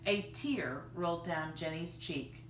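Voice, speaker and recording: A woman reading a children's story aloud in an expressive, animated storytelling style. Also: fairly low pitch, measured pace. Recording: roomy, distant mic, faint noise.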